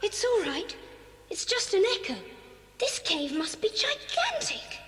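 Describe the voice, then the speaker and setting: A woman playing an eager, confident boy adventurer with a brisk, encouraging manner in a 1980s children's audio drama. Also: extremely high pitch, big pitch swings, sharp tone, a little hiss or hum, heavily acted. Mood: amazed. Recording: cassette drama with long reverb.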